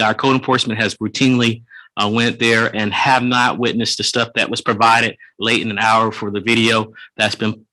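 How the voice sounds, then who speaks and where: A man's voice: lowish voice, sharp tone, poor audio. A man serving as police chief, reporting to a city commission meeting in an earnest, conciliatory and formal way.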